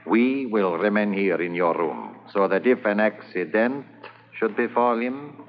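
Suave villain, formal and menacing, very low voice, muffled vintage radio sound, faint hiss. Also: male voice, animated, a little slow. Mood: menacing polite. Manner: smooth, coldly polite, formal. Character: suave foreign male smuggling boss, menacing. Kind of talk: 1950s radio drama acting. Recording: dull lo-fi 1950s radio transcription with hiss.

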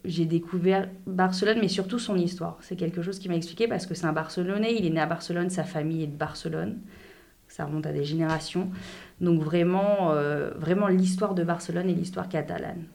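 A woman in her thirties from France, chatting casually as an interview guest, talking in quick bursts with many restarts. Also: fairly low pitch, creaky, a little mellow.

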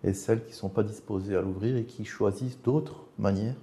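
A man's voice: lowish voice, steady tone, fairly quick, mellow. A man hosting a conversational interview, explaining his thoughts reflectively and often rephrasing as he goes. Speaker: interviewer, host.

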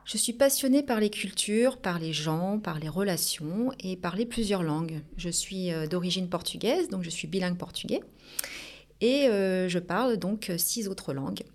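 Lively businesswoman, many fillers. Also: fairly low pitch, moderate pace. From Paris region, France. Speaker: company director, guest. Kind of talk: casual interview storytelling.

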